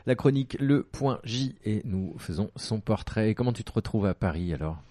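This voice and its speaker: A man's voice: on the low side, expressive, normal speed, dark tone, no room sound. A man hosting a radio interview, asking short, playful questions. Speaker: radio host.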